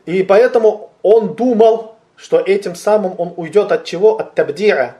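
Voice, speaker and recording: A man's voice: high-pitched, measured pace, dark tone. Man teaching a theology lesson, rhetorical questions. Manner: explanatory, poses rhetorical questions and answers them; repeats and rephrases terms, quotes Arabic words. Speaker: religious teacher. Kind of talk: theological lecture.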